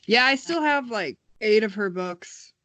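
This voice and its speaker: A woman's voice: mid-range voice, lively intonation, measured pace, fairly bright tone, no echo. A young woman co-hosting a podcast, chatting casually and wryly with a friend. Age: young adult. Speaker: podcast co-host. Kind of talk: casual chat between friends.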